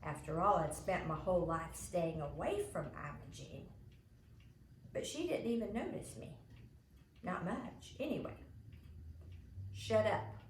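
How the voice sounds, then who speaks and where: A woman reading a children's story aloud as an expressive storyteller, in a home recording. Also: on the low side, unhurried. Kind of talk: expressive children's audiobook narration. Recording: roomy home recording, faint background noise.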